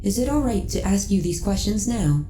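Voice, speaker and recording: A woman voicing a friendly, caring ship AI in a sci-fi ASMR roleplay, speaking in a measured, formal, precisely enunciated way. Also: medium pitch, animated, mellow, reverberant, a little hiss or hum, lightly acted. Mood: gentle, polite.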